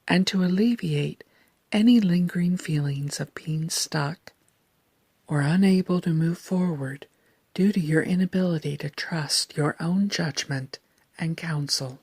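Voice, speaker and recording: Low, breathy woman guiding slow meditation. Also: dry recording. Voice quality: soft, breathy, near-whispered. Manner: calm, deliberate, soothing delivery. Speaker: guided meditation narrator. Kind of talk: slow scripted guided meditation narration.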